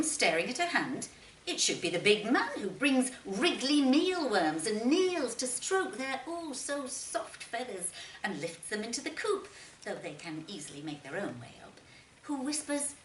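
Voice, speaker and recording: A woman reading literary fiction aloud as an expressive narrator, with deliberate phrasing and dramatic pauses. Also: mid-range voice. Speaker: short story reader. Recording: roomy, distant mic, faint noise.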